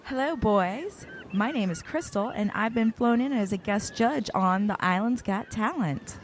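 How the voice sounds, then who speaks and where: A woman's voice: mid-range voice, lively intonation, unhurried, slight background noise, lightly acted. Woman doing flirty guest judge Crystal. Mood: breezy. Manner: teasing, flirtatious.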